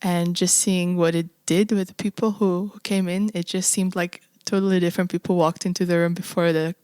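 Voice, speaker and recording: A woman from Slovakia, a non-native English speaker, talking as a guest composer in a casual interview, thoughtful and hesitant with many pauses. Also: lowish voice, little pitch movement, darkish tone, clean tone, dry recording.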